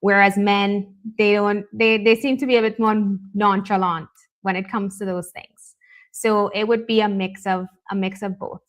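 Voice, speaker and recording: A woman from Canada speaks as a talk show guest, sharing her own experience in an open, reflective way. She talks in a relaxed conversational rhythm, often checking in with a quick "right?". Her voice sits at an ordinary middle pitch. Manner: candid, reflective, frequent "right?" tags. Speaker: guest, life coach. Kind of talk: conversational interview.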